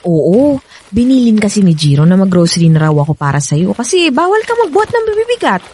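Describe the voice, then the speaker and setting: Lively, frank Filipina cousin. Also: female voice, mid-range voice, brisk, slightly rough. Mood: friendly, chatty. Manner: animated, frank, chatty. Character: partner's chatty female cousin, frank, protective, friendly. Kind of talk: Filipino radio drama acting.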